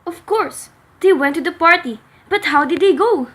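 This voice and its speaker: Haughty, scolding mother, played by a woman, extremely high voice, amateur radio drama. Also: normal speed, a bit bright, a little hiss or hum. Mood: indignant. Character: indignant gossiping mother fussing over her son's honour. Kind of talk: amateur radio play acting.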